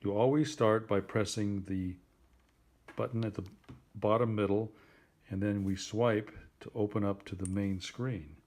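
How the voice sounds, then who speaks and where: A man giving a simple tutorial in a plain, deliberate, instructive way, like someone patiently walking a learner through each step. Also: on the low side, steady tone, darkish tone. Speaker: instructor helping a phone user.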